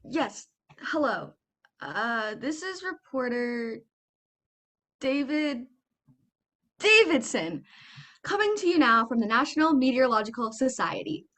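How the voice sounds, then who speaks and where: A woman's voice: a little high, very animated, a little slow, pauses often, bright, forceful tone, exaggerated voice. A young woman playing an awkward male field reporter who stalls for time in an absurd spoof radio play. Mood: awkward, stalling. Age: teens to young adult. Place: room at home, video call.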